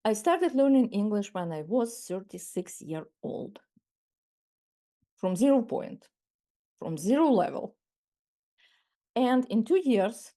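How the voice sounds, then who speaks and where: A woman of around sixty from Ukraine, with a Ukrainian accent and Ukrainian as her first language. She gives a measured webinar masterclass over a video call and often restarts and repeats words. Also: on the high side, warm tone. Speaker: masterclass speaker. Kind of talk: webinar talk.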